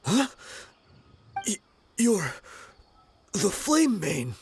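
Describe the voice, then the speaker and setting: A man playing a hardened, stubborn mercenary henchman in a game, with a rough, defiant delivery. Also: sits high, huge pitch swings, extremely slow, darkish tone, slight background noise, full character voice. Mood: startled. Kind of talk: game character dialogue.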